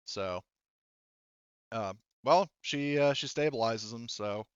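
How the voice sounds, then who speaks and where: A man narrates a tabletop roleplaying session as game master in a clear, smooth voice, recorded close and dry. He is halting and casual, working things out aloud with some swearing. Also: medium pitch, dry recording, dull audio.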